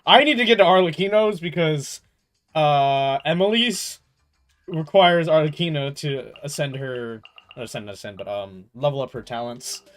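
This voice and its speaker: Chatty young man streaming, higher-pitched voice. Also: moderate pace, fairly bright tone. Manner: slangy, playful, reactive. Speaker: game livestreamer. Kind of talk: casual stream chat and game reactions. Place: in a room at home. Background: faint game music.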